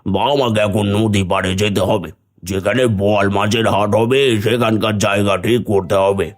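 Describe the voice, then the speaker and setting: A man's voice: fairly low pitch, level tone, moderate pace, lightly acted. A man voicing a stern, unyielding village moneylender in a cartoon, curt and authoritative. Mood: dismissive. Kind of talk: Bengali cartoon dialogue.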